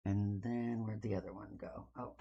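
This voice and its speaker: A woman's voice: extremely low pitch, steady tone, moderate pace, muffled recording, a little hiss or hum. A woman hosting a casual livestream, a bit sniffly from allergies, rambling informally with self-deprecating asides. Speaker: livestream host, art hobbyist.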